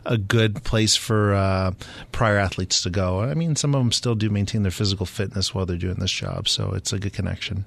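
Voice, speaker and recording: A middle-aged man from Southern California, a native Spanish speaker with an American accent, talking casually and a little haltingly as an interview guest. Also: fairly low pitch, vocal fry. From Southern California, United States. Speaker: police sergeant, interview guest.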